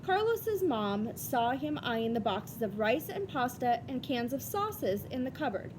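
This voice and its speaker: A woman's voice: big pitch swings, measured pace. A woman teacher giving a read-aloud lesson for children, explaining things step by step in an instructional way. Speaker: elementary school reading teacher. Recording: rumbly background noise.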